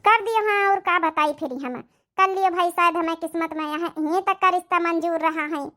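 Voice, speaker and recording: Woman acting a piercingly high-voiced Awadhi village woman. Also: a little fast, pushed and bright. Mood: resigned, defensive. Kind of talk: cartoon comedy-drama dialogue in village dialect.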